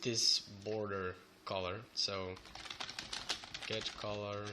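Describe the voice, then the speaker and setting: A young man with a Belgian Dutch accent who is a bit sick, talking haltingly through a coding tutorial with many fillers. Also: lowish voice, flat delivery, very noisy. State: sick, voice sounds off. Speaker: programming tutor. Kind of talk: screen-recorded coding tutorial. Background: keyboard typing and clicks.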